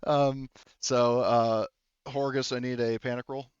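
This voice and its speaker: A man's voice: mid-range voice, little pitch movement, unhurried, clean tone, dry recording, muffled recording. A man acting as game master of a casual tabletop game, explaining rules and thinking aloud as he goes.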